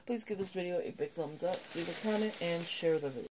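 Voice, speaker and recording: A woman's voice: lowish voice, fast, noisy background. A woman vlogger with a slightly nasal voice from a cold, chatting casually and rambling as she shows items at a home table. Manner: rambling, self-correcting, chatty. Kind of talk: casual haul video chat.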